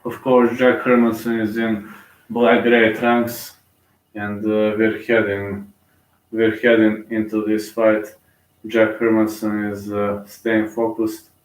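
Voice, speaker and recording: Rambling Bulgarian man, low and echoey. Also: monotone, takes it slowly, distant mic. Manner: rambling, thinks aloud with many fillers and restarts. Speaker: live fight commentator. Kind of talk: casual live commentary.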